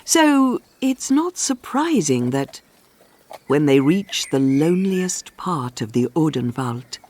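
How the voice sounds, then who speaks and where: A woman reading a scripted storybook for English learners, with measured, clearly phrased storytelling. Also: low voice, very animated, darkish tone. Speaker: audiobook narrator.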